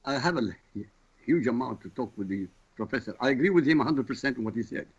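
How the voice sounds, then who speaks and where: A man from Iraq, Arabic his first language, talks with a gravelly, husky voice. He gives his views bluntly and forcefully, and the line sounds dull and muffled. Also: medium pitch, lively intonation, fast talker. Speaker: show regular. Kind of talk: talk radio discussion.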